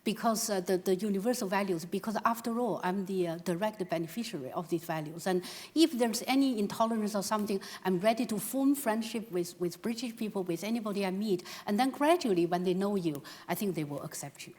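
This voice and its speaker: A woman's voice: mid-range voice, fairly quick, hardly any pauses, a little mellow. A woman making an earnest personal statement as a panellist, in English. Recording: echoing hall with long reverb.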